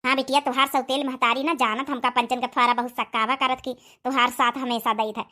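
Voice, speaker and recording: Woman as a high-voiced motherly cartoon auntie, Awadhi accent. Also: little pitch movement, brisk, bright, forceful tone. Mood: cautioning. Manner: advising, motherly. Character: kindly, protective middle-aged village auntie. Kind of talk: comedy cartoon voice acting.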